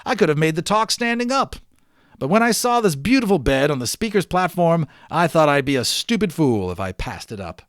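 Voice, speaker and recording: A man's voice: on the high side, sing-song, a little fast, dry recording, studio quality, voice pushed up. Man playing an exuberant professor, raised theatrical voice. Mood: hearty, delighted. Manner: theatrical, proud, declaiming to a crowd. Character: exuberant male professor and balloon adventurer. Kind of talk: dramatic storybook read-aloud.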